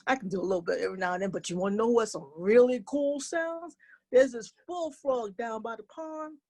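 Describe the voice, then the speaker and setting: A woman's voice: on the high side, brisk, a little mellow. A woman voicing a small, modest and friendly male cricket in an animal fable, in a casual, hip manner. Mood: bashful, friendly.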